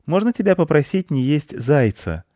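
Male narrator as inquisitive storybook boy, raised high voice, earnest, kind, questioning. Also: sing-song, moderate pace, dark tone, dry recording, studio quality. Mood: curious, lively.

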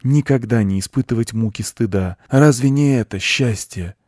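A man's voice: medium pitch, moderate pace, a little mellow, no echo, clean studio sound, pitched above the performer's own voice, lightly acted. A man voicing a pompous, persuasive male goat who preaches solemnly in a children's story. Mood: proud, exalted. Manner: solemn, self-important, preachy.

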